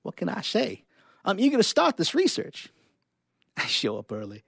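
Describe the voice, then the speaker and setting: A man performing a live reading of short stories, with expressive delivery and casual asides. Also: sits high, normal speed, creaky, husky, dry recording, studio quality.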